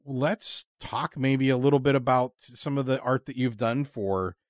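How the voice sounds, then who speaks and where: A man interviewing someone casually as host, in a halting way that repeats words while he searches for the next. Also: medium pitch, no echo, clean studio sound.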